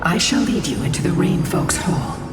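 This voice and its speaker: A woman voicing an ancient, solemn female guardian spirit in a fantasy game, breathy and measured in delivery. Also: on the low side, animated, close to an everyday voice. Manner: measured, solemn, archaic phrasing. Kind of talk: fantasy video game voice acting. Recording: echoing hall, distant, loud music behind.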